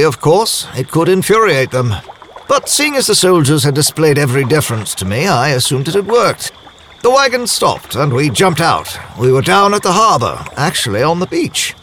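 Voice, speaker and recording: Man playing a formal, higher-pitched nobleman narrator. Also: a bit bright, a little hiss or hum, rough recording. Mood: self-assured, formal, then apprehensive, measured. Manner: formal, measured, literary diction. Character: genteel nobleman diplomat recounting past events, proud, formal. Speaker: voice actor, lead role. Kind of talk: first-person audio drama narration.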